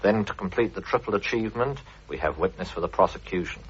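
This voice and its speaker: A man's voice: low-pitched, expressive, fast, breathy edge. A man reading scripted linking narration as a radio presenter. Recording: muffled vintage radio recording.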